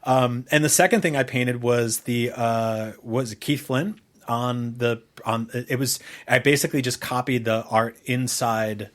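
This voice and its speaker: A man with a medium-pitched voice chats as a podcast co-host. He rambles through anecdotes with easy enthusiasm and frequent swearing. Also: steady tone, measured pace. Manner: rambling, enthusiastic, profane storytelling. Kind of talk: casual chat.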